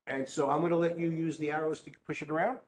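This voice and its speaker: A man chairing a community meeting, briskly telling the presenter how to set up. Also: on the high side, steady tone. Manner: brisk, gives practical instructions. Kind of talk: running a meeting's setup. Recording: echoey room, faint noise.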